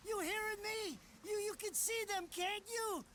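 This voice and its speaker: A man's voice: very high voice, measured pace, a crowd in the background. An actor playing a squat, nervous, foul-mouthed wiseguy henchman in a video game, wisecracking and whiny. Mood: panicked. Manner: wisecracking, whiny, profane, slangy. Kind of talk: animated video game cutscene acting.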